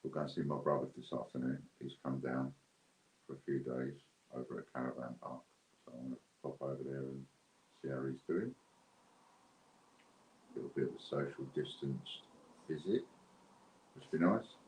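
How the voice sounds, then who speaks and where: Very deep-voiced British man, echoey room. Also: moderate pace, pauses often, darkish tone. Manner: rambling, unscripted, thinking aloud. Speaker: host of an online raffle and giveaway stream. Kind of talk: casual livestream chat to viewers. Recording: roomy echo with faint background noise.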